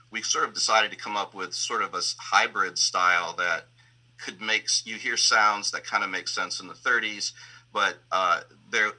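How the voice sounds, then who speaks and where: Male sound designer, low-pitched but sharply bright, thoughtful and halting interviewee. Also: rough recording. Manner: hesitant, reflective, searching for words. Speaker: sound designer and audio engineer. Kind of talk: explaining creative process in a panel interview.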